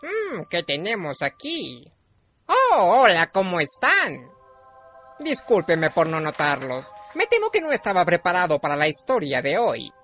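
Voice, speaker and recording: A woman's voice: lowish voice, huge pitch swings, measured pace, fairly bright tone, soft background music, full character voice. A woman voicing a rat who narrates a storybook, polite and theatrical but nervous and grumbling. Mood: flustered storyteller.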